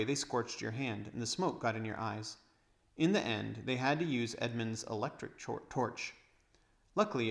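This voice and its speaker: A man's voice: mid-range voice, creaky. A man narrating a storybook aloud as a read-aloud reader.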